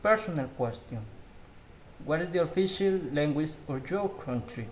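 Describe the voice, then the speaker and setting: A man whose first language is Spanish, slowly reading English question-and-answer drills aloud as a learner, with small grammar slips. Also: a little high, lively intonation, slight background noise. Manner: slow, careful practice reading with slips.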